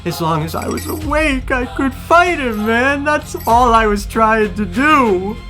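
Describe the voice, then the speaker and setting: Horror audio drama: strung-out, frantic killer, high-pitched, stuttering, pleading, repetitive, over music. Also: male voice, animated, unhurried, bright, forceful tone, exaggerated voice. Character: frantic drug-addled killer pleading that a demon made him.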